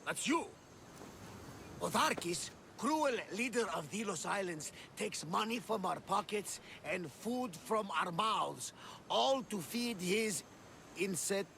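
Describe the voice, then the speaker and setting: An exuberant seafarer in a video game. He has a high, gravelly voice and speaks slowly with storytelling flourish, over some faint ambient noise. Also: lively intonation, pushed and bright, full character voice. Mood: dramatic. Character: hearty, excitable male Greek ship's mate. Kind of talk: video game cutscene acting.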